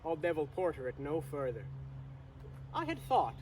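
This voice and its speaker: A man's voice: on the high side, huge pitch swings, normal speed, pitched below the performer's own voice, rough recording. Young man acting a swooping, grumbling castle porter. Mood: grumbling, weary. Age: teenager. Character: grumbling, rambling castle porter playing hell's gatekeeper. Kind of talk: dramatic Shakespeare reading. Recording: outdoor recording with traffic noise.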